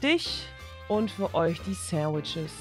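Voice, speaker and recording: A woman's voice: lowish voice, big pitch swings, slow pace, music in the background, voice pushed down. Woman as a polite, attentive café server. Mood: obliging. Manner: courteous service talk. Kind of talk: improvised doll-play storytelling with character voices.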